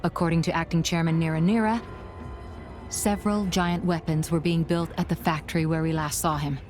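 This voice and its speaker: A woman's voice: low-pitched, steady tone, brisk, a little mellow, faint music behind, natural voice. A woman playing a grave, poised aide in a video game, speaking in a formal, serious way.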